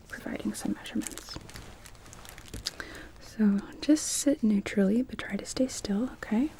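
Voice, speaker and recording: A woman whispering softly and breathily in an ASMR medical roleplay as an optometrist, explaining things gently and without hurry. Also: mid-range voice, low-quality recording.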